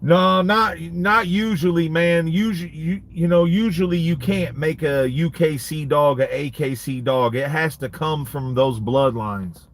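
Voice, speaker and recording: A man's voice: on the high side, unhurried, hardly any pauses. A man in his late thirties, a dog breeder hosting a casual livestream and answering viewer comments in laid-back street slang. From United States. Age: 30s.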